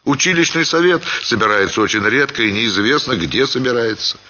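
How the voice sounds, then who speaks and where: A man reading a literary short story as expressive scripted narration, with dramatic, theatrical phrasing. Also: mid-range voice, a little fast, highs cut off.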